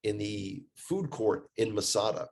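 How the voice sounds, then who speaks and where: A man's voice: lowish voice, animated, a little slow. A man with an American accent telling stories in a casual, conversational way, emphatic and repeating phrases for effect. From United States. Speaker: guest, tour guide living in Israel.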